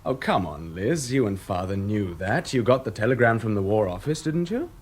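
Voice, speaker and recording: An actor in a radio drama playing a smug, cynical English ex-soldier, suave and mocking, with an English accent. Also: male voice, on the low side, expressive, normal speed, husky. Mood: coolly callous.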